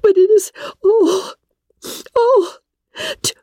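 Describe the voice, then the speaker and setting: A distraught young gentlewoman from an old novel, high shaky tearful voice, halting, blaming herself. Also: no room sound, clean studio sound, heavily acted. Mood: tearful self-blame. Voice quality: tearful, breaking voice. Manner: halting, agitated, dramatic. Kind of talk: dramatic audiobook reading of classic novel.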